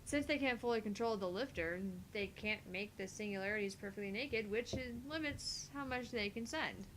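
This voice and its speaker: A woman's voice: mid-range voice, moderate pace, a bit bright, a little hiss or hum, rough recording. A woman doing an acted reading of dialogue from a visual novel game. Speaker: voice reader in a visual novel playthrough.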